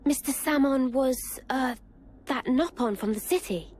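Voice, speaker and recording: A woman's voice: sits high, a little slow, darkish tone. An earnest young woman soldier.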